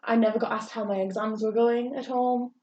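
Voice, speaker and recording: A woman's voice: fairly high pitch, steady tone, fairly quick, warm tone. A young woman co-hosting a podcast, telling her own story in a candid, rambling way with many hesitations. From England. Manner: earnest, rambling, frequent hesitations. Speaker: podcast co-host. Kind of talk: candid personal chat. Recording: roomy, distant, dull with faint hiss.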